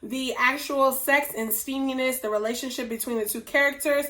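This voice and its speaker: A woman hosting a book review vlog, losing her voice and sounding hoarse, gushing with slow drawn-out emphasis. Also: high voice, bright, forceful tone. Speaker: book reviewer, channel host. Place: indoors at home, whiteboard nearby.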